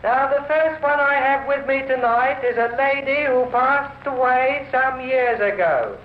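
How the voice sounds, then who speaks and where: Slow formal seance spirit guide, high voice, tinny old radio sound. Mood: solemn, courteous. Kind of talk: 1950s radio drama acting. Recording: echoey, tinny, noisy old radio recording.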